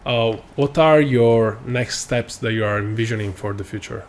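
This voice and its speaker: A man's voice: mid-range voice, moderate pace, a little hiss or hum. A man hosting a podcast, asking questions and giving short encouraging replies. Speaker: podcast host. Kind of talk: casual interview.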